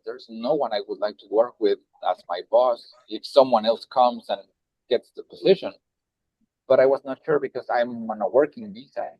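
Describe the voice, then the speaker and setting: A man from Mexico with a Mexican Spanish accent, a nonprofit director talking in a roundtable, halting with many fillers and restarts, heard over a video call. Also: lowish voice, no echo, dull audio. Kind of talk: roundtable discussion.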